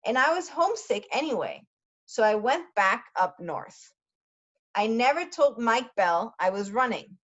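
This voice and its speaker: A woman teacher reading a story aloud for language learners, pausing often to explain words in quick asides. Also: fairly high pitch, big pitch swings.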